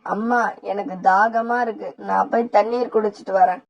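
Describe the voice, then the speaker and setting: A woman's voice: mid-range voice, fairly even intonation, moderate pace, vocal fry, a little mellow, highs cut off, lightly acted. A woman voicing a young bird chick in a cartoon, talking in a pleading, childlike way.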